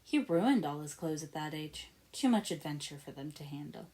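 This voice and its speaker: A woman's voice: sits low, lively intonation, moderate pace, warm tone, poor audio. A woman voicing a friendly, welcoming mother in a story, kindly and matter-of-fact. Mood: fondly dry.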